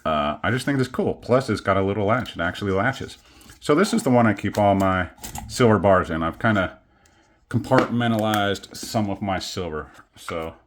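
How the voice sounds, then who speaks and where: A man casually showing his collection on camera at home, rambling and self-deprecating with many pauses and fillers. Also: low-pitched, fairly bright tone. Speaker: coin collecting vlogger. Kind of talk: casual show-and-tell. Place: home studio.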